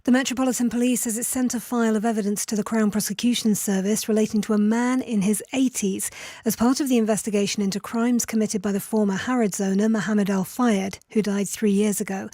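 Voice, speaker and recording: Woman newsreader, soft-toned and dry. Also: mid-range voice, steady tone, fairly quick, dry recording. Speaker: radio newsreader. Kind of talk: scripted news bulletin.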